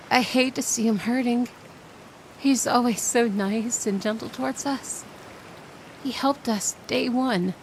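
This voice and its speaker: A woman's voice: fairly high pitch, a little hiss or hum. A woman playing a shy, kind-hearted mermaid in a roleplay audio drama, with a flustered, hesitant, stammering manner.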